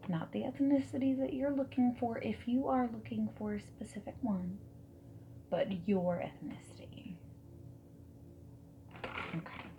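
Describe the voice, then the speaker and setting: A mellow, soft and breathy woman's voice, often dropping into a whisper, acting as a friendly, whimsical dating consultant in an ASMR roleplay. She talks slowly and gently, reassuring and chatty, over faint hiss and keyboard typing. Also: on the high side, poor audio. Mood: friendly, attentive. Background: mechanical keyboard typing.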